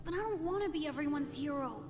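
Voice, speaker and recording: A woman's voice: high-pitched, animated, quick pace. A woman voicing an innocent, earnest little village boy in a video game, with a childlike manner. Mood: troubled, reluctant. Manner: childlike, eager, hesitant. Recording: reverberant hall, music underneath. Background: loud music.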